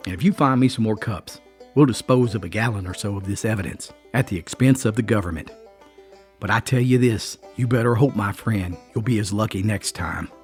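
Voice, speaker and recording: A man's voice: on the low side, a little fast, a little mellow, natural voice. A man voicing a male federal revenue officer, cool and commanding, over music. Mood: good-humored. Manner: clipped, commanding.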